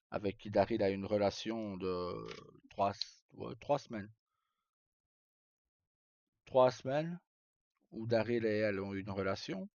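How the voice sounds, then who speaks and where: A man's voice: fairly low pitch, warm tone, no room sound, highs cut off. A man chatting casually as a streamer, recounting stories in a rambling, hesitant, colloquial way. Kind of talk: casual live chat, recounting roleplay stories.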